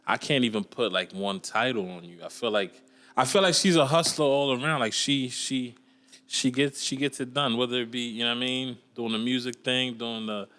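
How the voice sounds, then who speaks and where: Bright-voiced casual podcast host. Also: male voice, medium pitch, normal speed. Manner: loose slang-filled talk with many restarts.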